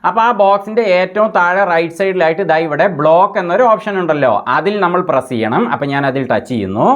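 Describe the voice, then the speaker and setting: A man's voice: high-pitched, animated, fast. A man presenting a tech tutorial, explaining each step in a methodical, instructional way.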